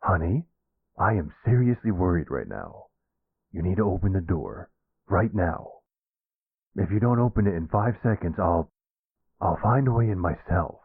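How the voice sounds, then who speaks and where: A man's voice: low voice, sing-song, moderate pace, pauses often, husky, dry recording, phone-quality sound, heavily acted. A man acting as a worried, insistent boyfriend in a roleplay audio drama, speaking in an earnest, pleading, exasperated way. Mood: anxious, insistent.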